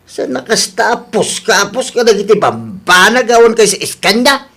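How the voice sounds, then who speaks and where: A village husband with an Ilocano accent, lecturing in a radio comedy drama. His voice is very high for a man, preachy and scolding. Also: big pitch swings, moderate pace, vocal fry, a bit bright, exaggerated voice.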